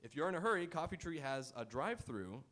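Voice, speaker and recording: A man's voice: on the high side, sing-song, fast, a bit bright. A man hosting a live game show on stage, bantering playfully and reading announcements to the audience. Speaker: quiz show host. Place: auditorium stage.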